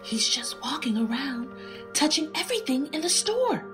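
Animated, exasperated shop woman. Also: high-pitched, normal speed, over music. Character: exasperated, blunt, protective female bookstore worker. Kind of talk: radio drama dialogue.

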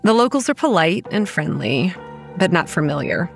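Young female horror-drama narrator, weary campground manager, low pitch, measured, wry, protective, music behind. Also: big pitch swings, creaky. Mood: wry, brooding. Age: young adult. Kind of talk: scripted first-person horror audio drama narration. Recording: music under the voice.

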